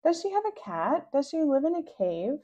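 A woman voicing an excitable, pesky little girl who fires off eager questions. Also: very high voice, muffled recording, pitched above the performer's own voice, heavily acted. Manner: rapid-fire eager questioning.

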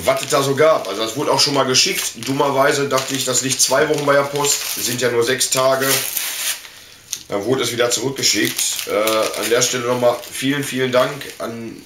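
A man's voice: mid-range voice, fairly even intonation, moderate pace. Slangy middle-aged man in a roomy space. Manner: slangy, informal, rambling. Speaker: video host. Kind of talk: casual unboxing chat. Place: small indoor room full of collectibles. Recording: roomy echo, slight background noise.

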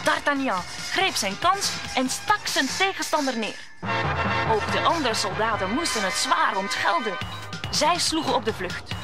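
Female narrator, scripted radio storytelling, fairly high pitch, clear musical backing. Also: sing-song, a little slow, loud music behind the voice.

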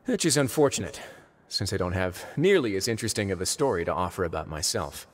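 An actor playing a composed, wry young duke in a video game, measured and dryly courteous. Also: male voice, deep voice, animated. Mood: calm, measured. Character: composed, wry young duke ruling an underwater prison fortress. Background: music.

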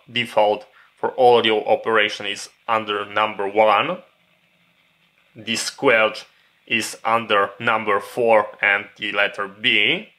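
Bright-voiced male instructor, unhurried. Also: fairly low pitch. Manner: methodical, step-by-step. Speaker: instructor demonstrating radio programming. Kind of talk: hands-on tutorial explanation.

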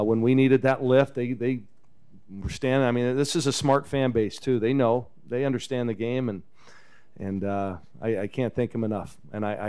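A man in his fifties fields questions after a game, loose and conversational. His voice comes through smooth and resonant on a table microphone in a press room. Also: mid-range voice. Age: 50s. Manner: relaxed, chatty, chuckles often. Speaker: head basketball coach. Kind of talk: post-game press conference. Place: press conference room with backdrop. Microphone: table microphone on a stand.

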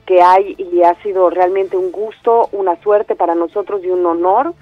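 A woman's voice: on the low side, steady tone, moderate pace, dry recording, soft background music. A woman from Andalusia with a Mexican-tinged Spanish accent, directing a theatre company and speaking enthusiastically over the phone with many fillers and restarts. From Spain, Andalusia. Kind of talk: phone interview. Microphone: telephone. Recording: muffled phone line.

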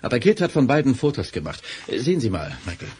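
An actor playing a concerned, courteous boss in an audio drama, husky, formal and measured. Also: male voice, mid-range voice, huge pitch swings, a little mellow, a little hiss or hum. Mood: sober, concerned. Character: concerned, courteous male boss of crime-fighting foundation.

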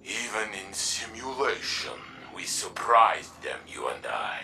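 Slow, deep, robot warrior. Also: male voice, big pitch swings, creaky, sharp tone, slight background noise, full character voice. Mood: solemn, troubled. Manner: halting, solemn, emphatic. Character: weary, noble male robot warrior, earnest and wounded. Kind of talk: dramatic video game monologue.

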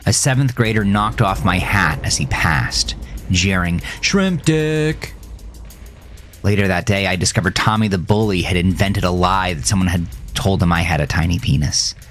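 A man narrating a first-person horror story in a brooding, theatrical, dramatic way. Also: deep voice. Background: faint music.

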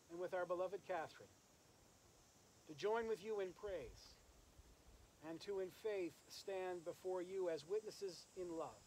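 A male minister with a notably high voice leads a graveside service in the open air. His delivery is solemn and measured, with pauses between phrases. The camera stands some metres off, and faint insect and nature noise runs behind him. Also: darkish tone, low-quality recording. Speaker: minister officiating a funeral. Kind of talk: graveside sermon with scripture reading and prayer. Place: outdoors in an open rural cemetery. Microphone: camera several metres away.

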